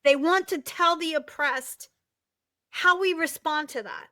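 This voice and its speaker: Forceful high-voiced woman guest. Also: a little slow, no room sound, clean studio sound. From Palestine. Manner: forceful, emphatic, repeats words for emphasis. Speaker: guest, novelist and activist. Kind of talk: impassioned interview talk.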